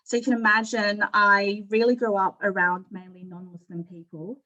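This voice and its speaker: A woman's voice: mid-range voice, level tone, normal speed, pushed and bright, muffled recording. A middle-aged woman with an Australian accent, giving an informal personal presentation on a video call in a candid, conversational way. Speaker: panel speaker at an online forum.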